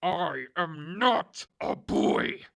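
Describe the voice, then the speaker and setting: A woman voicing a monstrous male goblin king in a storybook reading, speaking slowly and threateningly. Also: on the low side, lively intonation, creaky, fairly bright tone, heavily acted. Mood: menacing. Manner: slow, looming, threatening. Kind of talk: storybook read-aloud.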